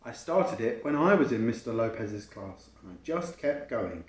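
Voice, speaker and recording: A confident, helpful big sister from a storybook, read by a man. The expressive voice moves widely in pitch and echoes around the room. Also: moderate pace, lightly acted. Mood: proud, approving. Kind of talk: expressive picture-book reading. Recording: roomy, echoing home recording.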